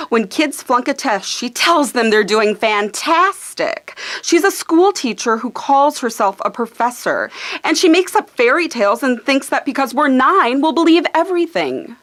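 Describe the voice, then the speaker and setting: A woman voicing a skeptical, practical nine-year-old girl, exasperated and matter-of-fact, in a live radio theater reading. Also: sits high.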